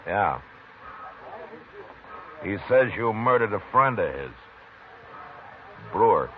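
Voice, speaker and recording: An actor playing a calm, authoritative frontier marshal in a 1950s radio western, speaking tersely. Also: male voice, lowish voice. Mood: matter-of-fact. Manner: terse, unhurried. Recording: tinny 1950s radio recording, faint noise.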